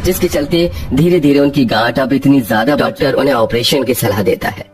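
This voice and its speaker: A man narrates a children's story at a middle pitch. His scripted storytelling runs over faint music. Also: a little fast.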